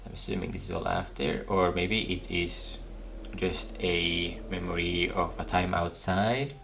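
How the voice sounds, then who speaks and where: A man with a very low, gravelly voice talks casually through a game playthrough. He muses and thinks out loud over faint background noise. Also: fairly even intonation, measured pace. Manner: musing, thinking aloud. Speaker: gaming video host.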